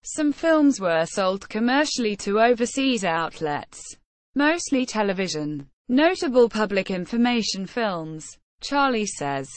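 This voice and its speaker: A woman narrates in a mid-pitched voice with a very dry, room-free sound. She reads scripted reference text formally and impersonally, keeping a measured delivery. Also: sing-song, no room sound. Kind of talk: scripted narration, read-aloud encyclopedia article.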